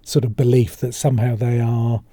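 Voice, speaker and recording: A man's voice: mid-range voice, little pitch movement, moderate pace, mellow, slightly rough, dry recording. A middle-aged man, a former police officer co-hosting a podcast, talking bluntly and firmly about criminals. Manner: blunt and opinionated. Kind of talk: casual chat.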